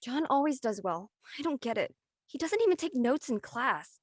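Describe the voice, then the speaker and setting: High-voiced, stressed studious teen girl acted by a woman. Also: very animated, normal speed, no echo, studio quality. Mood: exasperated. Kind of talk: online dramatic table read.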